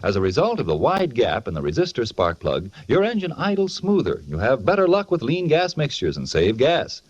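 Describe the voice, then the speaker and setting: A dull vintage radio recording carries an eager salesman. His pitch swings widely and his voice is slightly rough as he delivers folksy, scripted ad copy. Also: wildly swooping pitch, normal speed. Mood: upbeat, selling. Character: folksy, eager salesman explaining product benefits. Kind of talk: scripted 1950s radio ad banter.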